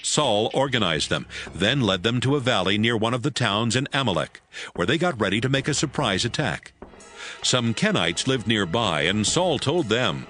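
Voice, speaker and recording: A man's voice: medium pitch, sing-song, normal speed, a bit bright, faint music behind. A man narrating scripture in a scripted, dramatized audio Bible style.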